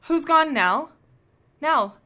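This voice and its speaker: A woman's voice: sits high, animated, extremely slow, sharp tone, full character voice. A woman playing a commanding, protective woman in charge in a radio drama, firm and authoritative. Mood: alarmed, questioning. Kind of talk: radio play acting.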